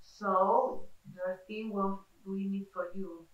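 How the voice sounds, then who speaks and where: A woman interviewer asking gentle, probing questions in a slow, deliberate way. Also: mid-range voice, steady tone, highs cut off. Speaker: interviewer, retreat facilitator. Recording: roomy, distant mic.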